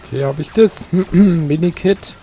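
A young man gives easygoing, chatty commentary while he plays a game. His voice is mellow and dark in tone. Faint noise sits behind him. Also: a little high, measured pace. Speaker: let's player. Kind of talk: casual gameplay commentary.

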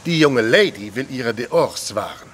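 An actor playing a wordy, pompous young gentleman in a German audio drama. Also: medium pitch, animated, moderate pace, slight background noise, lightly acted. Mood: self-important. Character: dandyish young gentleman, flowery and self-important.

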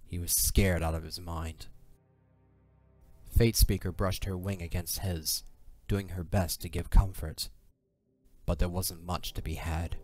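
A man's voice: low voice, darkish tone. A man narrating a scripted, dramatic fantasy story as the narrator of an audio drama. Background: music.

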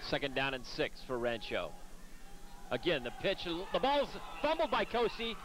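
A man doing brisk, upbeat play-by-play for a 1990s local cable high school football broadcast. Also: wildly swooping pitch, background noise. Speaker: play-by-play announcer. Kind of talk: local cable sports commentary.

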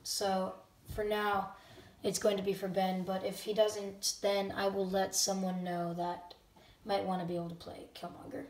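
A channel host talking casually and unscripted to viewers at home, a bit halting and often self-correcting. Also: mid-range voice, level tone. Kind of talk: casual unscripted talk to camera audience. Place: indoor room at home. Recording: roomy, distant microphone.

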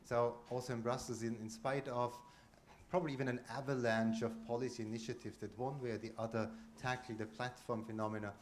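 A man's voice: mid-range voice, little pitch movement, fairly quick. Man on a handheld mic. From Austria. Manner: speaks with frequent restarts and fillers, gestures while talking. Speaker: conference panelist. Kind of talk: roundtable remarks. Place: conference room, indoor. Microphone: handheld microphone.

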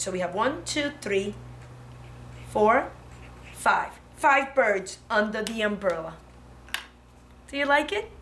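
A woman's voice: on the high side, a bit bright. A woman in her thirties teaching young children step by step as a preschool teacher, in short, encouraging phrases with frequent check-ins. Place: indoor preschool classroom. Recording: classroom with audible background noise.

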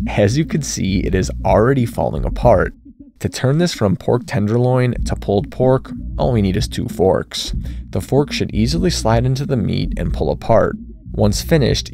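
A deep-voiced man in his thirties narrates a recipe in a steady, scripted voice-over. His voice has a gravelly fry and a lively, swinging pitch. Faint music plays underneath. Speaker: cooking channel host.

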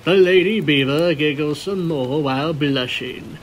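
A man's voice: fairly high pitch, unhurried, a little hiss or hum. A man narrating a scripted story as a fan fiction reader.

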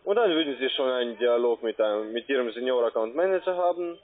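A man who is not a native German speaker, working as a call-centre agent, giving a halting, repetitive, persuasive sales pitch. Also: expressive. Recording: tinny, band-limited phone line.

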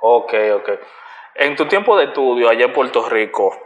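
A young adult man with a Dominican Spanish accent hosts a relaxed interview in friendly street slang. His voice sits at a middling pitch. It sounds roomy and dull, picked up by a camera across a bright living room. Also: moderate pace. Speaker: host. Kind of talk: casual interview.

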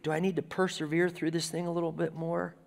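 A man in his forties preaches from a church stage in an easy, conversational way, his voice sitting a little high for a man. The sound carries a long reverb from the hall around him. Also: quick pace, creaky. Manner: conversational preaching with lively gestures. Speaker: pastor. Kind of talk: sermon. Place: church stage with a lectern and guitars.

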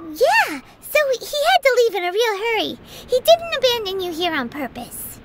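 A woman's voice: extremely high pitch, big pitch swings, moderate pace, noisy background. A woman voicing a tiny chirpy fairy companion in a game, who talks about herself in the third person. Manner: refers to herself in third person, bright and chattery. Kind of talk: game voice acting.

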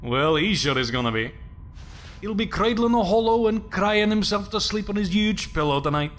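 An actor playing a cocky, wisecracking rebel rogue in an audio drama, flippant and teasing. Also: male voice, high voice, expressive, normal speed, fairly bright tone. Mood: mocking.

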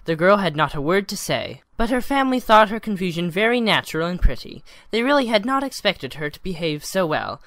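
Bright, expressive woman storyteller. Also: on the low side, no echo, clean studio sound. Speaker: narrator. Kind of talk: scripted story narration.

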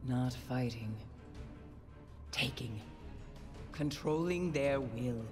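Slow, haunted mystic seer. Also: female voice, rumbling low, exaggerated voice. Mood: ominous, grave. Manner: slow, cryptic, portentous. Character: haunted, grim female mystic seer, ominous. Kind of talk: cinematic game acting. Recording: reverberant hall, music behind.

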